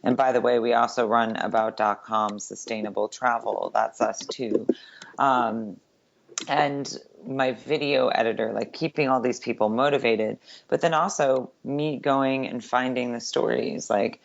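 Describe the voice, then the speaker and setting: A woman with a deep, gravelly voice talks in a relaxed, chatty way, full of filler words. The recording sounds dull and a bit lo-fi. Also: measured pace, slight background noise. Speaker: guest. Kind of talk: casual interview chat.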